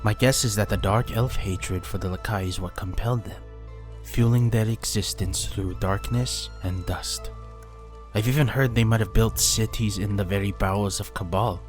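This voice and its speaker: A secretive ninja with a low, level voice, acted by a man, laying out lore in a measured, matter-of-fact way. Faint music plays under him in this fantasy audio drama. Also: fairly even intonation, natural voice. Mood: explaining. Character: Meineken, calm secretive male ninja scholar.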